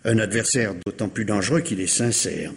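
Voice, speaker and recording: An actor playing a courteous, insistent police commissioner who repeats himself in measured questioning, in old radio mystery style. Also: male voice, lowish voice, creaky. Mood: reasoning. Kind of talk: 1950s French radio mystery acting. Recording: reverberant hall-like radio drama sound.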